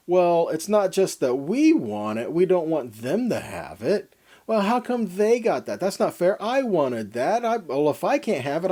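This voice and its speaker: A man with a mellow, relaxed tone delivers a teaching sermon. His pitch moves expressively as he stresses key words, reads scripture and puts pointed questions to the listener. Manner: emphatic, dramatic stresses, rhetorical questions to listeners. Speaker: Bible teacher giving a weekly Torah portion lesson.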